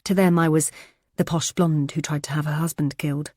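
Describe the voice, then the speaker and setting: Low dark-voiced woman narrating a thriller. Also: fairly even intonation, brisk, dry recording, clean studio sound. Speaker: audiobook narrator. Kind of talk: first-person thriller narration.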